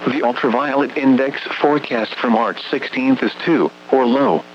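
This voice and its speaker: A male announcer reading a scripted weather bulletin over the radio in a formal, measured, impersonal way. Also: mid-range voice. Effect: radio broadcast. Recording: muffled, noisy weather radio broadcast with hum.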